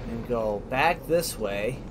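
A man's voice: mid-range voice, lively intonation, slow. A man giving casual let's play commentary as a gaming host, informally thinking aloud. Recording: clearly noisy, game ambience behind.

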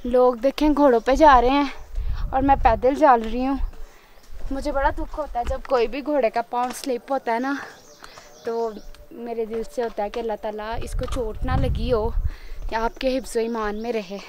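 A woman's voice: a little high, fairly even intonation, moderate pace, a little mellow. A young woman, chatting upbeat to a handheld camera while hiking outdoors on a forest trail, sometimes out of breath. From Pakistan. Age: young adult. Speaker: travel vlogger. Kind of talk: casual travel vlog narration to camera. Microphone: handheld camera held close.

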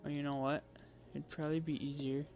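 Male gameplay creator, fairly high voice, casual muttered commentary over soft game music. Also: flat delivery, brisk, highs cut off. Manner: mutters to himself, self-correcting and unsure. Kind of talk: casual commentary while playing.

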